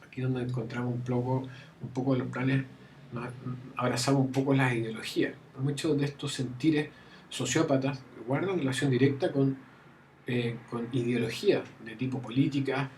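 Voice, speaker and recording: A man's voice: mid-range voice, darkish tone, a little hiss or hum, low-quality recording. A man giving a university lecture, often restarting phrases and checking in with his students. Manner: frequent fillers and restarts, checks understanding with "¿ya?". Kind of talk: classroom lecture. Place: classroom.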